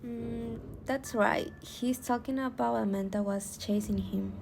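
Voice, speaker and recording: A woman's voice: fairly high pitch, fairly even intonation, a little slow, vocal fry, a little mellow. A woman playing a schoolchild among friends in an amateur radio drama. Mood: thoughtful. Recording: very noisy, hissy microphone.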